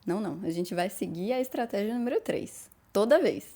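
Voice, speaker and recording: Woman in her 30s, podcast language teacher, mellow and warm, encouraging. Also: mid-range voice, expressive, a little fast. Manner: encouraging, direct, repeats phrases for emphasis. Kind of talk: podcast lesson, addressing the listener.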